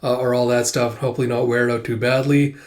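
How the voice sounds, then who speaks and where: A man with a cold and a sore, stuffy throat recapping a manga chapter casually, halting often with filler sounds. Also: medium pitch, level tone. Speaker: manga reviewer.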